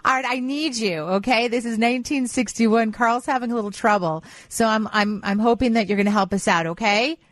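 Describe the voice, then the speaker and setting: A woman's voice: on the high side, a little fast, hardly any pauses, dry recording. A woman co-hosting a live radio show, chatty and informal as she runs a quiz. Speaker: radio show co-host running a music quiz. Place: radio studio. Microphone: close studio microphone.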